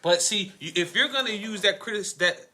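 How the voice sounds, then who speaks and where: A man's voice: high-pitched, normal speed, bright, forceful tone. A man in a casual sports debate, talking combatively with slang and swearing. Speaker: sports podcast panelist.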